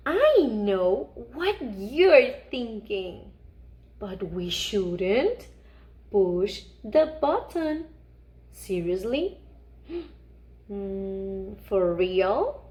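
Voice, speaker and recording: Storybook monster, voiced by a woman, friendly and mischievous; high, very slow delivery with big gasps, coaxing little listeners. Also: a little mellow, full character voice. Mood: mischievous, conspiratorial. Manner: gasping, exclaiming, exaggerated wonder, coaxing young children. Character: Larry, excitable mischievous friendly picture-book monster. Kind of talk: animated children's read-aloud.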